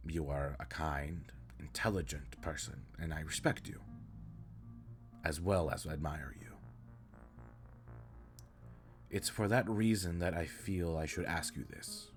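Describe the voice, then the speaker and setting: A man's voice: very deep voice, a little slow, soft background music. A man playing an earnest, caring alien spaceship captain in an audio roleplay, speaking in stiff, formal, precise sentences. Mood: tender concern. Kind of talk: scripted roleplay audio drama, addressing the listener.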